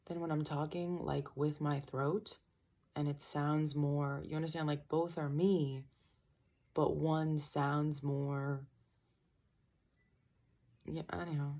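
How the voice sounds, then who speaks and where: Young woman, tarot reader; low, husky, loose and chatty delivery. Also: dark tone. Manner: rambling, trailing off, self-interrupting. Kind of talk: casual tarot reading chat. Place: small home room at night, birds outside. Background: birds chirping.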